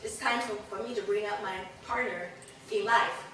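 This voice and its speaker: Hoarse woman speaking in an echoey hall. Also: mid-range voice, normal speed. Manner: earnest, emphatic, animated gestures. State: hoarse, losing her voice. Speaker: community leader, event host. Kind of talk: formal public speech. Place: large room with a backdrop, indoors. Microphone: no microphone, recorded by a distant camera. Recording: echoey room from a distance, noisy.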